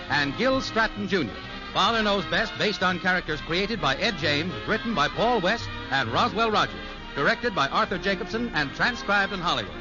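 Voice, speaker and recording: A man with an American accent, the announcer narrating a 1950s radio show from a script. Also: high voice. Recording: muffled vintage radio recording. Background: music.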